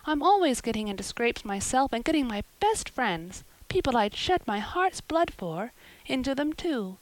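A woman's voice: on the high side, big pitch swings, moderate pace, no room sound, voice pushed up, poor audio. Woman voicing an earnest, dramatic young girl. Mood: earnest pleading. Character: eager talkative orphan girl, dramatic and earnest.

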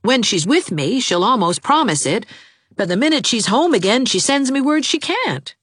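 A woman's voice: a little high, very animated, fairly bright tone, husky, dry recording, clean studio sound, pitched above the performer's own voice, exaggerated voice. A woman voicing a lovesick, gloomy young man who talks in an earnest, fretful rush. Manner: earnest, fretful, fast-talking. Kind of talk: audiobook character voice.

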